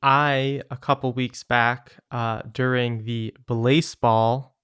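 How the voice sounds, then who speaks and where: Smooth-voiced man chatting on a podcast. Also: mid-range voice, level tone, slow, fairly bright tone, no room sound, studio quality. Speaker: podcast co-host. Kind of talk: casual chat.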